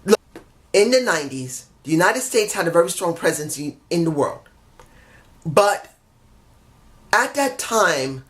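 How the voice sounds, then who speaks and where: A man's voice: high voice, fairly bright tone. A man with a head cold giving a casual solo review, rambling, correcting himself and grumbling in frustrated asides. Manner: rambling, keeps correcting himself and apologizing for mix-ups. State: stuffy head cold, under the weather. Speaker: wrestling show reviewer.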